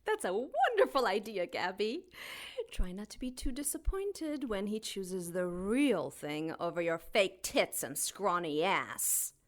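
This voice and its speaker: A woman's voice: medium pitch, very animated, a little slow. A woman playing a scornful, possessive seductress and romantic rival in an audio drama, taunting and sultry.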